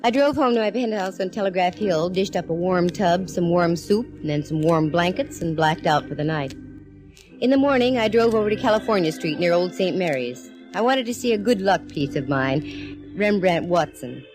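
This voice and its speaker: A woman's voice: on the low side, expressive, moderate pace, a little mellow, low-quality recording. A woman playing a sassy, wisecracking private eye who narrates a 1940s radio drama. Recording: old radio broadcast with music.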